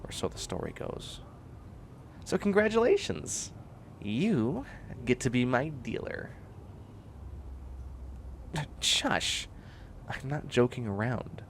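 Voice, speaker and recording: A man's voice: medium pitch, very animated, slow pace, vocal fry, a little hiss or hum, full character voice. A man playing a tired, prickly, bookish biotech student in a sci-fi comedy audio roleplay, with a wry, fussy, rambling delivery. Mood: frazzled, wry. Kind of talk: scripted comedic audio roleplay, one side of a conversation.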